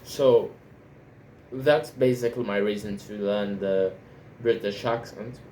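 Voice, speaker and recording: Slow, halting Brazilian-accented man. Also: on the low side, steady tone, a little mellow, a little hiss or hum. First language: Portuguese. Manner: halting, repeats words and restarts sentences. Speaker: language learner vlogger. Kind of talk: unscripted monologue.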